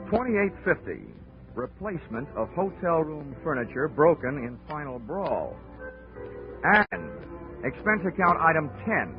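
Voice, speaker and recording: Dry wry investigator, mid-range voice, tinny old radio broadcast, music underneath. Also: male voice, medium pitch. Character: wry male insurance investigator, narrating. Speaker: narrator and lead. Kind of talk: 1940s radio acting.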